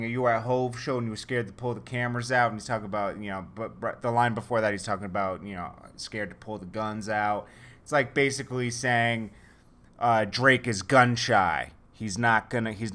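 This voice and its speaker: Young guy doing casual commentary, kinda low voice but bright, lots of um and like. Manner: rambling, many fillers and restarts. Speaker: news commentary vlogger.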